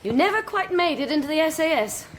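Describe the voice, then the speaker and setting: A woman's voice: sits high, big pitch swings, fast. A woman playing a cool, self-assured and condescending army investigator in a radio crime drama. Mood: taunting.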